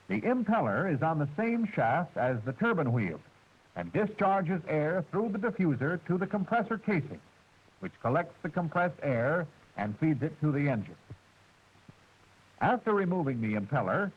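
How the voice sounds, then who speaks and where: Man, 1940s training film narrator, formal and precise, husky voice, muffled old soundtrack. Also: a little high. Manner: precise, measured, formal delivery. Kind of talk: scripted instructional narration.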